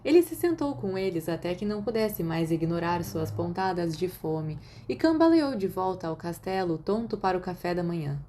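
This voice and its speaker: A woman's voice: on the low side, animated, moderate pace, hardly any pauses, warm tone, slight background noise. A Brazilian woman reading fiction aloud as scripted audiobook narration. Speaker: podfic narrator.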